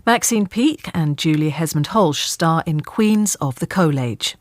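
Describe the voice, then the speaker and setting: A woman's voice: deep voice, animated, measured pace, no echo. A woman reading a scripted programme announcement.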